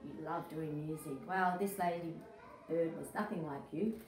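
Story-time host: middle-aged woman, unhurried, expressive read-aloud for little kids, echoey room, slightly distant mic. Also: deep voice, a little hiss or hum. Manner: expressive, emphatic, addressing young children. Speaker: early childhood educator, story-time host. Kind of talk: children's picture book read-aloud. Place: small indoor room.

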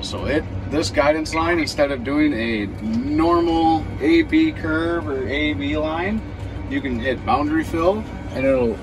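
A man walking through tractor display features step by step in a casual demo, recorded inside a tractor cab in a field. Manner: plain, step-by-step explaining. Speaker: precision ag specialist demonstrating equipment. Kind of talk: casual hands-on demo narration. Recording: audible engine noise in the cab. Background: tractor engine rumble.

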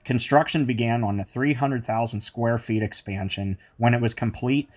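A man's voice: mid-range voice, steady tone, normal speed. A man giving an enthusiastic walking tour of a mall, mixing its history with chatty asides. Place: indoor shopping mall.

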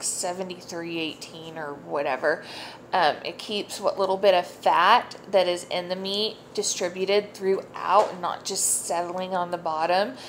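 A woman's voice: mid-range voice, slight background noise. A woman, chatting casually through a home cooking tutorial, upbeat and full of asides. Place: home kitchen.

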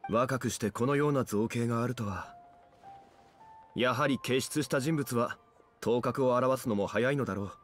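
A man voicing a dignified, ageless gentleman scholar in a Japanese video game, formal, measured and solemn. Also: mid-range voice, soft background music, lightly acted. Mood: composed.

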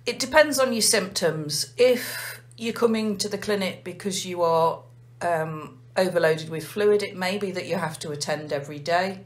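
An English woman, a nurse manager, calmly explaining a heart failure clinic in an interview. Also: lowish voice. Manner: measured, methodical explaining.

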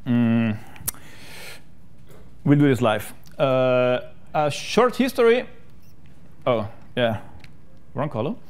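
This is a man. He speaks from a conference room stage in a smooth, clear, mid-pitched voice. His delivery is casual and self-mocking, with frequent fillers and restarts. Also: expressive, slow pace, pauses often, a little mellow. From South Tyrol, Italy. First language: German. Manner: chatty, self-deprecating, many fillers and restarts. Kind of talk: technical conference talk.

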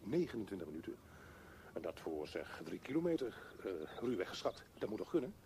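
A man's voice: sits low, sing-song, brisk, a little mellow, slight background noise. An actor playing a brooding, restless man thinking aloud in a radio drama, with theatrical, broken phrasing. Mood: restless, urging himself.

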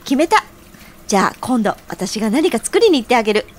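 A woman's voice: on the high side, big pitch swings, a little fast. An actress playing a caring young woman in an audio drama, with a cheerful, affectionate, feminine way of talking. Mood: caring, eager. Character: caring, eager young woman offering to cook for her man.

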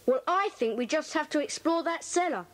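A curious young child for a children's drama. The voice is extremely high and lively, full of adventurous eagerness. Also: female voice, moderate pace. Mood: curious, eager. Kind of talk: children's audio drama acting.

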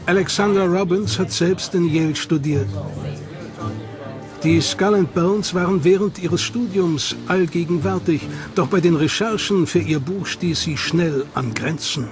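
Higher-pitched male documentary narrator. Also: a little slow, over music.

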